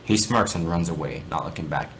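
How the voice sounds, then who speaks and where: A man with a fairly low voice reads a story aloud with theatrical, very animated intonation. He stumbles over words and corrects himself as he goes. There is clear noise on the amateur recording. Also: fairly quick. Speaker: reader of fan fiction. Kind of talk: amateur dramatic story reading.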